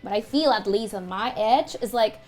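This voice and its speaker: A woman interviewing actors, chatty and complimentary, with halting phrasing. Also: medium pitch, a little mellow, soft background music. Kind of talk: casual interview questions.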